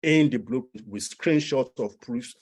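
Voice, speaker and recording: A man's voice: mid-range voice, measured pace, darkish tone. A man with a Nigerian accent explaining things as a coach to an audience, persuasive and repeating phrases for emphasis. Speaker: immigration coach and presenter. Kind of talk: informal explanatory presentation to an audience.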